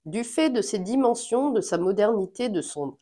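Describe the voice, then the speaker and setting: A woman's voice: mid-range voice, expressive, moderate pace, warm tone. A woman with a head cold chatting casually as she unboxes a board game, thinking aloud with plenty of fillers. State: has a cold.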